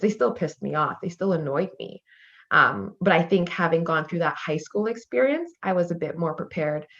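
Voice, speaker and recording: A woman's voice: low-pitched, lo-fi sound. A woman in her thirties from Canada, speaking earnestly on a panel discussion with many fillers and growing intensity. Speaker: panel guest.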